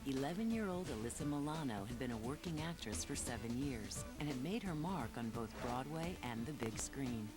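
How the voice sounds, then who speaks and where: A man's voice: medium pitch, expressive, moderate pace, hardly any pauses. Man narrating a documentary over music.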